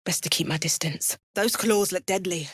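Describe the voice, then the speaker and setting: A woman's voice: lowish voice, moderate pace, breathy edge, no echo, clean studio sound. A woman playing an alert, determined adventurer in a video game, giving short remarks and dramatic spell incantations. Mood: wary, observant. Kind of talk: video game character voice lines.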